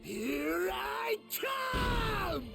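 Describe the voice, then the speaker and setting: Feral young fighter, raised gravelly shout, swooping wildly, cocky, battle-crazed, anime game, loud music behind. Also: male voice, extremely high pitch, very animated, drawn-out words, full character voice. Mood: wild battle cry. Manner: bellowing, cocky. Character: wild, boastful, battle-crazed young male swordsman in boar hide. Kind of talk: dubbed anime game acting.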